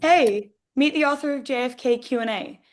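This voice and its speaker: A woman's voice: high-pitched, moderate pace, natural voice. A woman playing a cheerful, eager wife in her forties at a screenplay table read. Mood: excited.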